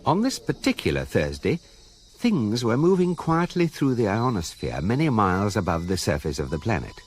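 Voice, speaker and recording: An actor as a wry, authoritative guidebook narrator in a radio comedy, measured and deadpan. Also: male voice, lowish voice, slightly rough.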